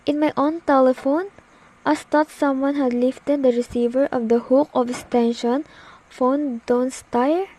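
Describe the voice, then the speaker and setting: High, slow-spoken, frantic invalid woman acted by a girl. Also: steady tone, warm tone, rough recording. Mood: fearful. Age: teenager. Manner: imperious, nervous, halting delivery. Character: frantic, demanding bedridden woman, alone and terrified. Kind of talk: amateur student radio play acting.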